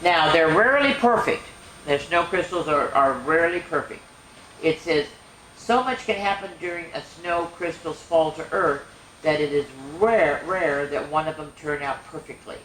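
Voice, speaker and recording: Lady reading a kids science book out loud, full of wonder, chatty asides, roomy echo, bit of noise. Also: low-pitched, normal speed. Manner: expressive, wonder-filled, explaining to children. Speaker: storyteller and show host. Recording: echoey room with faint noise.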